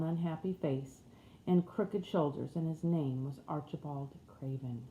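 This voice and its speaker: A woman's voice: low-pitched, narrow, tinny audio. A woman reading a storybook aloud as scripted narration. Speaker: audiobook reader. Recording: roomy echo with faint background noise.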